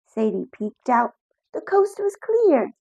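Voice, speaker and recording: A woman reading a children's picture book aloud, lively and expressive, with voices for the characters. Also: sits high, slow, vocal fry, breathy edge, no room sound, clean studio sound. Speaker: storyteller.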